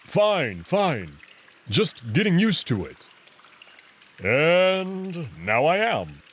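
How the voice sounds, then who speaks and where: A man's voice: a little high, big pitch swings, drawn-out words, a bit bright, slight background noise, voice pushed up, heavily acted. A man voicing a big, playful wolf prince in a visual novel, with a teasing, commanding way of talking. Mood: pained. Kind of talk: visual novel character acting.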